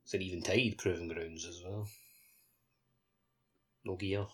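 Male co-host with a low-pitched voice for informal, jokey video game chat. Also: steady tone, measured pace, fairly bright tone. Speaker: podcast co-host.

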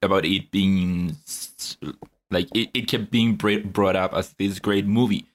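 A young man co-hosting a casual podcast, talking in a measured, thoughtful way with hesitant fillers. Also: sits low, fairly even intonation. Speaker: podcast co-host.

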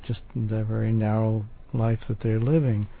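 A man on a discussion panel, speaking slowly and hesitantly with many fillers. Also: on the low side, level tone, gravelly. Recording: tinny phone-like sound.

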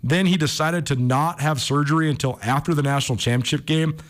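A man's voice: fairly high pitch, a little fast. A man speaking as a sports radio host, giving informal, enthusiastic commentary in a conversational style.

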